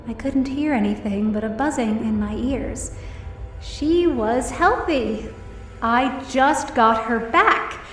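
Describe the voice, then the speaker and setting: A woman's voice: fairly high pitch, echoey room, far from the mic. A woman playing an emotional young woman who narrates her own story in an audio drama. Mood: grief-stricken. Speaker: first-person narrator and lead.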